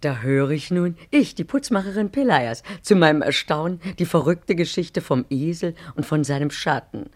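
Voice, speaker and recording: An actress playing a chatty, coy young milliner in an old radio play. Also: female voice, deep voice, animated, moderate pace, hardly any pauses. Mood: musing. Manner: chatty and coy, narrates her own thoughts. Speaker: radio drama actor. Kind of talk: 1950s radio play acting.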